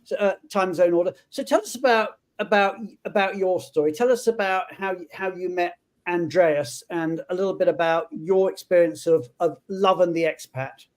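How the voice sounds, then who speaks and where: A man's voice: high voice, normal speed. A man hosting a live web panel, introducing guests and asking questions, often repeating words as he restarts. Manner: friendly, stammering restarts. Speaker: host.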